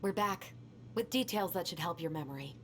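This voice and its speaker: A woman's voice: lowish voice, animated, fairly quick, fairly bright tone, roomy sound, in a large hall, slight background noise. A woman playing a guarded, skeptical young woman in a naturalistic video game cutscene. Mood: determined.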